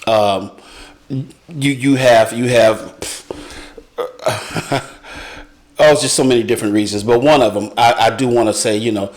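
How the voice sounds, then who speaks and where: A man speaking as a guest in a casual panel discussion, hesitant and musing, posing questions to himself. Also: medium pitch.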